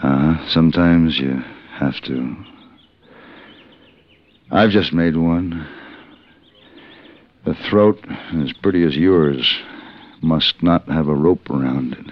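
An actor playing a calm, worldly gunfighter in a 1950s radio western, with a dry, deliberate delivery. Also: male voice, extremely low pitch, exaggerated voice. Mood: wry, tender. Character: calm, worldly male gunfighter, weakened by a wound. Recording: dull vintage 1950s radio recording.

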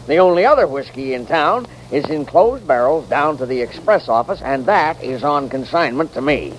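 An actor playing a gruff, gullible saloon keeper who talks in folksy frontier speech in a radio western. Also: male voice, on the high side, lively intonation, moderate pace, close to an everyday voice. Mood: gruff, exasperated. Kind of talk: 1940s-50s radio western acting. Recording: faintly noisy vintage radio recording.

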